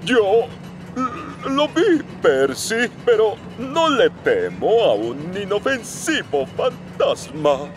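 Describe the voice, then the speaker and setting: A man's voice: sits high, wildly swooping pitch, slow, exaggerated voice. A man with a Latin American Spanish accent playing a boastful, scoffing big express engine in a cartoon. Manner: pompous, scoffing. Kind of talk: cartoon dub acting. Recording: music behind the voice.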